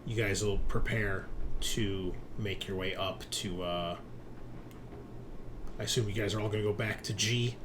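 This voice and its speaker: A man narrating a tabletop roleplaying game as game master, improvising with pauses. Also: lowish voice, steady tone, background noise. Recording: music playing under the voice. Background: music.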